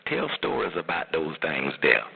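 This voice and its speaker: Very deep-voiced older-sounding uncle on muffled phone. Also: male voice, big pitch swings, moderate pace, gravelly. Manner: avuncular, advising, repeats himself for emphasis. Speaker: uncle advising his jailed nephew. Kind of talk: casual phone conversation. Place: phone line. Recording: muffled, noisy recorded jail phone call.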